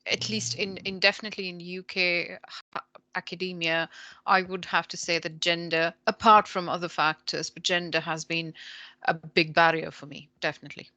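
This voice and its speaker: Bright, tentative woman on a dry call. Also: on the low side, fairly even intonation, dry recording. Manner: tentative, halting, many ums. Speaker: panelist, academic. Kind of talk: panel discussion on video call.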